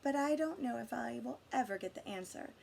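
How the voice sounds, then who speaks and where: A woman reading a picture book aloud expressively to children, pausing to guide them with questions. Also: fairly high pitch, expressive, vocal fry, a little mellow. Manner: pauses to question and guide young listeners. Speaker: read-aloud storyteller for children.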